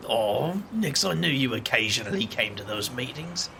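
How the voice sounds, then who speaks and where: An actor playing a dry, self-deprecating street magician who also narrates the story. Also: male voice, medium pitch, animated, normal speed, vocal fry, sharp tone. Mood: wry. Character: wry street magician, a leader among city magicians. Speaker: first-person narrator of the story. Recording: noisy background hiss.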